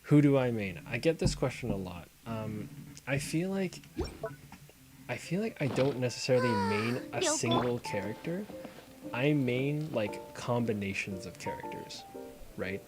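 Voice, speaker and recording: A man's voice: mid-range voice, animated, a little slow. A man streaming a card game, musing aloud over his moves and answering viewers in chat. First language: not Korean. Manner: talks to himself while playing, reads and answers chat. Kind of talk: casual live-stream commentary while gaming. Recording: music playing behind. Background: background music.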